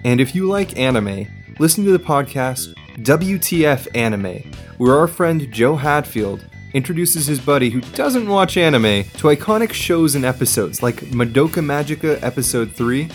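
A man's voice: medium pitch, animated, moderate pace, faint music behind. A man speaking as a player and announcer on a tabletop role-playing podcast.

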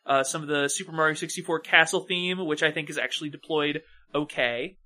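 A man's voice: a little high, steady tone, a little fast, pushed and bright. A man co-hosting a podcast, chatting casually about film in a blunt, emphatic, sweary way. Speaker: podcast co-host.

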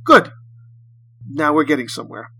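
A man's voice: on the high side, very animated, sharp tone, a little hiss or hum. Man playing a probing, haunted would-be killer. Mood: controlled, probing. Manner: probing, measured, hesitant. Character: bereaved, hesitant man with a gun, seeking a kill.

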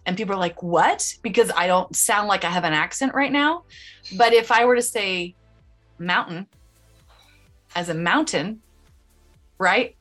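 Woman podcast host, Utah accent, bright tone, chatty and giggly. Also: mid-range voice, animated, a little slow, pauses often, faint music behind. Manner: bubbly, laughs often, chatty. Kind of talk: casual interview chat.

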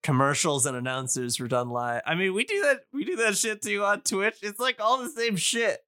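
A man's voice: sits high, moderate pace, pushed and bright, no room sound, studio quality. A man guesting on a podcast, explaining things in an informal, geeky way, often repeating words as he goes. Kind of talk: casual explanatory chat.

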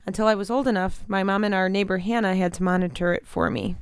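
A woman plays a sheltered, gentle teenage girl in an audio drama. Her voice is smooth and clean at a medium pitch, sincere and polite, in a very dry recording. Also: fast, no room sound, lightly acted. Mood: shy, earnest. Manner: soft-hearted, sincere, polite.

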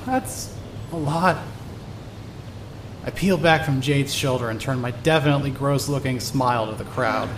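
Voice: mid-range voice, a little hiss or hum. A performer voicing June, an overwhelmed young girl who keeps laughing and crying as she comes out in a fan audio drama. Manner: laughing and crying through her words.

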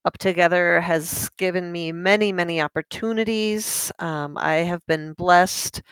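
Woman interpreter, slow n smooth, lowish voice, dry close mic. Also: fairly even intonation, no echo, rough recording. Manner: measured, with soft fillers. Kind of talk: interpreting a guest's answer into English.

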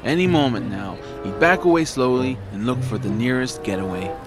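A man's voice: medium pitch, animated, fairly quick. Male audio drama narrator over music.

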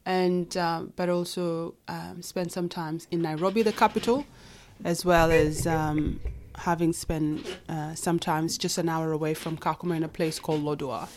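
Interview guest, woman in her thirties, Nuer first language, lowish voice, hesitant delivery with frequent fillers. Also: fairly even intonation, moderate pace, a little mellow, a little hiss or hum. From Kenya. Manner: hesitant, many fillers and restarts. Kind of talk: interview conversation.

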